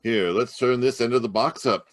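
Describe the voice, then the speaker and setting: A man's voice: mid-range voice, normal speed, over loud music, natural voice. A man playing a firm, shrewd police inspector in old-time radio mystery style. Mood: brisk. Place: live video call at home. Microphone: video call.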